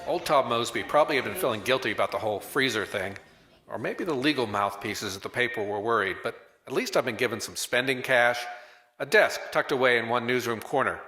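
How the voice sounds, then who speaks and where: A man's voice: medium pitch, a little fast, sharp tone, close to an everyday voice. A man playing a wisecracking, old-fashioned newspaper reporter in a radio drama, narrating with hard-boiled, slangy wit. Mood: wry. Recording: roomy echo, faint music behind.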